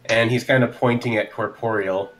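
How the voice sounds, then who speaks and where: A man's voice: mid-range voice, steady tone, normal speed, fairly bright tone, low-quality recording. A man taking part as a player in a tabletop roleplay game, chatting casually and describing his character's moves. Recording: faint music behind. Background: faint music.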